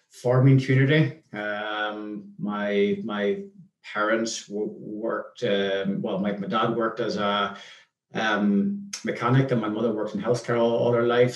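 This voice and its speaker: Interview guest: Northern Irish man, lowish voice, hesitant, recorded in a roomy space. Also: lo-fi sound. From Northern Ireland. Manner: hesitant, many restarts and ums. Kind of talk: casual interview chat. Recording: echoey room.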